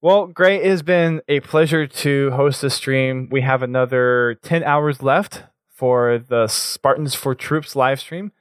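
A man's voice: medium pitch, slow, hardly any pauses, dry recording, studio quality. A man hosting a charity live stream, upbeat as he announces giveaway winners and sponsors. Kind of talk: casual stream chat.

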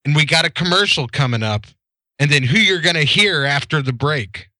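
A man's voice: fairly high pitch, lively intonation, moderate pace, sharp tone, dry recording. A man chatting casually on a live stream, laughing easily and joking.

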